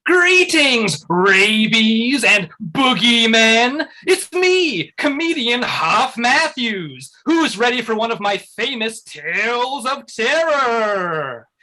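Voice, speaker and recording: Casting: hammy cloaked horror host, high and bright, grandiose, stretched-out comic menace. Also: male voice, very animated, full character voice. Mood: grandly spooky. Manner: theatrical, drawn-out grandiose delivery. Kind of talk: campy horror host monologue at a table read.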